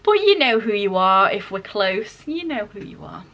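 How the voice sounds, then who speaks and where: A woman's voice: mid-range voice, sing-song, normal speed, fairly bright tone. A woman chatting casually to camera in a book vlog, informal and self-deprecating, with dry asides. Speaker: book vlogger.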